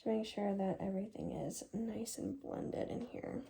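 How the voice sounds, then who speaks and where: A woman doing a soft-spoken ASMR hair stylist roleplay, talking gently and casually as if reassuring a client in the chair. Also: medium pitch, normal speed, creaky, background noise. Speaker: ASMR creator role-playing a hair stylist.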